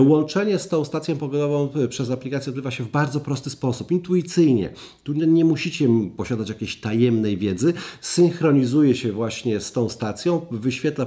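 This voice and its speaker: A man in his fifties sits at a table in his living room and talks viewers through a gadget review. His voice sits at an everyday middle pitch, and he keeps up a chatty, direct manner dotted with filler sounds. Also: darkish tone. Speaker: tech reviewer. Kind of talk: product review talking to camera. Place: living room at home, indoors.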